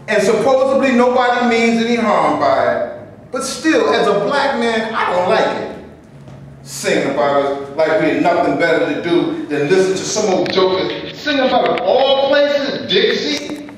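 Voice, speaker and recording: Un homme jouant un Jim amer et indigné. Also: male voice, sits high, very animated, measured pace, a little hiss or hum. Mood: indignant. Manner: bitter, indignant complaining. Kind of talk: staged reading. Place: stage before a live audience. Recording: echoey hall, voice from far off.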